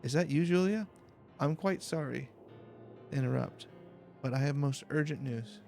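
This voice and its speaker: A man's voice: a little high, normal speed, gravelly, darkish tone, soft background music. A man voicing a polite, earnest fantasy game messenger with a formal, apologetic manner. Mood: urgent, apologetic. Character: polite, earnest messenger bearing urgent news.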